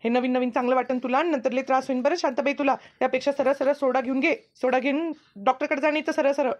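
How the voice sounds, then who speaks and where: A woman's voice: high voice, fairly even intonation, quick pace, no room sound, lightly acted. A woman voicing a gossipy, advice-giving village friend in a comedy cartoon, with a rural Maharashtrian Marathi accent. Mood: advising. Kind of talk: comic cartoon dialogue. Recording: dull, lo-fi dry recording.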